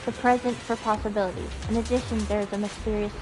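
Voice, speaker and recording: A woman livestreaming a video game, chatting and reading text aloud in a giggly, animated way. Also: mid-range voice, quick pace, poor audio. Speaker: game streamer. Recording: game music behind the voice. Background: video game music.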